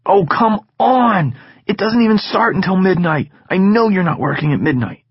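A brash, teasing teenage boy who talks his friend into things, played by a male narrator. His voice sits high and a little loud, with a husky grain. The recording sounds a touch dull. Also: animated, fairly quick, no echo. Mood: pushy eager.

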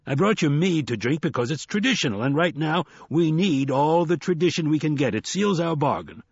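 A man's voice: a little high, expressive, moderate pace, creaky, husky, no echo, dull audio, voice pushed up, exaggerated voice. A man voicing a sly, jovial older con artist in an audiobook, with a grandiose, persuasive, theatrical manner. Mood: commanding, persuasive. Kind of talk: audiobook character acting.

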